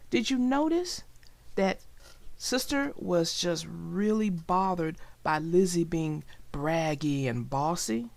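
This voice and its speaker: A woman's voice: on the low side, gravelly, no room sound. A woman narrating for children, reading expressively and chatting emphatically to her young listeners. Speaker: storybook narrator and host. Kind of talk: expressive read-aloud and casual commentary for children.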